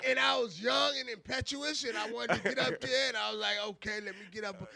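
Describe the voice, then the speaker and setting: A man with a high, very sharp and forceful voice and a gravelly creak co-hosts a live radio show. His talk is casual and slangy, full of interjections and repeated phrases. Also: fairly even intonation, fast talker.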